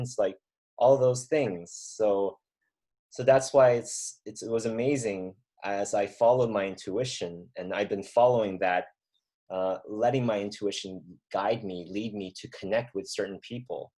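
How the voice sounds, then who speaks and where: A man in his thirties from mainland China with a fairly low voice hosts a reflective talk. He speaks earnestly and at an easy pace, thinking aloud and circling back with fillers and restarts. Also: normal speed, dark tone. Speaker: podcast host. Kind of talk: reflective conversation.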